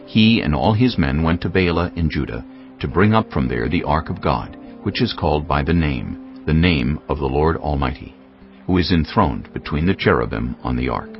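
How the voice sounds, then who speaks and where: A man narrating scripture in a solemn, measured storytelling style, with a gravelly voice. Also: very deep voice, lively intonation. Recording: dull, lo-fi audio. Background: faint music.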